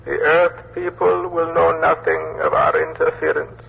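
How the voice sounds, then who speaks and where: Gravelly, ancient alien elder. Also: male voice, fairly high pitch, very animated, measured pace, a crowd in the background, heavily acted. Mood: solemn, judging. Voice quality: creaky, husky. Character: ancient alien elder judging humankind, solemn and slow. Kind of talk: old-time radio science fiction acting. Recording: muffled old radio drama recording.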